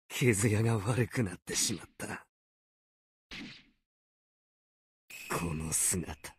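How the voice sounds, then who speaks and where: A man's voice: on the low side, vocal fry, breathy edge, dry recording, clean studio sound, full character voice. A man voicing a proud, playful fox-spirit swordsman in a video game, speaking in polite, archaic, theatrical phrases. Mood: pained, restrained. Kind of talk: Japanese video game character voice lines.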